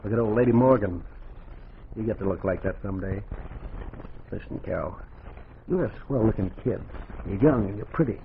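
An actor playing a smooth-talking, cocksure frontier gambler, coaxing and folksy, in 1940s radio drama style. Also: male voice, on the low side, moderate pace, pauses often. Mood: persuasive. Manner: coaxing, swaggering, folksy grammar. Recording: muffled, noisy 1940s radio recording.

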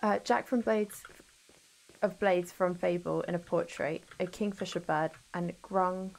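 A woman's voice: lowish voice, fairly even intonation, measured pace, creaky, rough recording. Friendly woman streamer. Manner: chatty, friendly, greets viewers by name. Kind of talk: casual gameplay chat, reading chat aloud.

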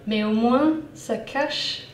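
A woman's voice: fairly high pitch, takes it slowly, slight background noise. English woman w/ manchester accent, talking french at a lecture q&a, roomy room, mic a ways off, audience around. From northern England. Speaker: guest speaker. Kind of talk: public talk Q&A. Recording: roomy, distant, audience present.

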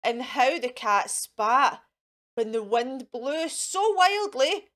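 A woman reading a children's storybook aloud in a theatrical, expressive, drawn-out manner. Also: high-pitched.

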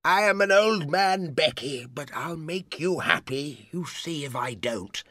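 Casting: male actor as elderly audiobook aristocrat, bossy yet pleading, very sharp, deliberate pace. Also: mid-range voice, big pitch swings, vocal fry, no echo, heavily acted. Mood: begging. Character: impatient, pleading old baronet, lovestruck and bossy. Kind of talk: dramatised audiobook character acting.

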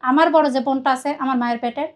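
Bangladeshi woman telling her life story, really high voice, talks fast, rambles n repeats stuff. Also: fairly even intonation, a little mellow. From Khulna, Bangladesh. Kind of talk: personal confessional account in conversation.

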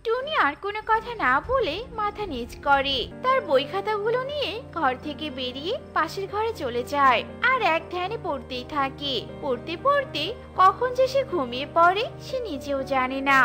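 A woman narrating a cartoon story in an expressive storybook manner. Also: extremely high pitch, normal speed, music in the background.

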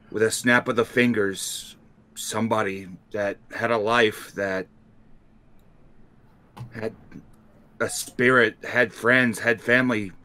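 A man playing a tabletop role-playing game, speaking improvised in-character dialogue in an earnest, measured way. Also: on the low side, sharp tone.

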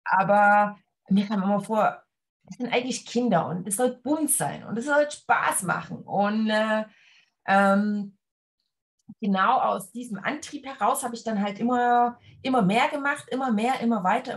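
A woman in her forties from Leipzig, a sewing teacher talking casually on a video call, relaxed with many fillers and hand gestures. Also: on the high side, moderate pace. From Leipzig, Germany. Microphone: webcam on a video call.

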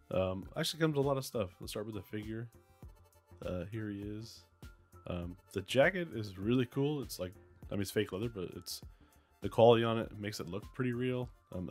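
Man with a raspy cold-worn voice. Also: lowish voice. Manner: relaxed, many ums and uhs. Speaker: toy reviewer. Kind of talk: casual unboxing review.

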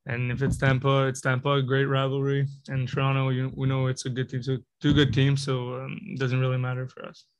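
A young man answers reporters after a game, speaking into a table mic with his phrases broken up by "you know" and "I mean". His pitch barely moves, staying level and even throughout. The sound is dry and close, with no room echo. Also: fairly quick, few pauses, lo-fi sound. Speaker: hockey player. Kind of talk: postgame press conference answers. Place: press conference room. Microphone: table mic in front of him.

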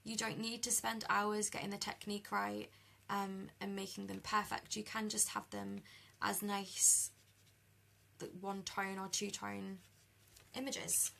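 A young British woman with a croaky voice chatting easily with live viewers while she colours at a craft desk. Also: medium pitch, level tone, a little slow, sharp tone. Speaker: card-making demonstrator. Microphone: overhead camera over a desk.

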